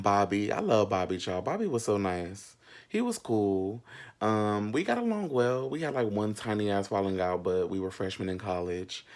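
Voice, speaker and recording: A young American man vlogging casually, telling stories to viewers in a sassy, gossipy, playful way full of slang. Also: on the low side. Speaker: vlog host. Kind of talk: casual chatty storytelling to viewers.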